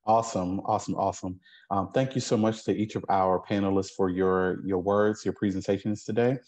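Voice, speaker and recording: A man's voice: low voice, steady tone, a little fast, darkish tone. A man in his thirties moderating a webinar Q and A on a video call, friendly and with many ums. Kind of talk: hosting a webinar Q and A.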